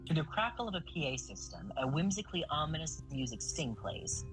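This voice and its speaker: A woman's voice: sits low, sing-song, fairly quick, rough recording. A woman reading scripted stage-direction narration for an audio drama. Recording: music bed behind the voice.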